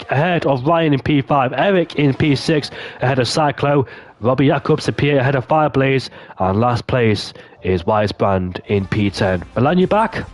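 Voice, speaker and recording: A man commentating a sim racing session, excitable and losing his voice, hoarse. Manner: excitable, rises sharply in pitch at key moments. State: voice going hoarse. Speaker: lead commentator.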